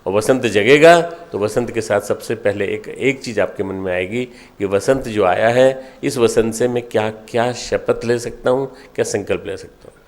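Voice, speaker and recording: Older man giving an emphatic spiritual discourse. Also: fairly low pitch. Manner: emphatic, repetitive exhortation with short pauses between phrases. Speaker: spiritual leader addressing followers.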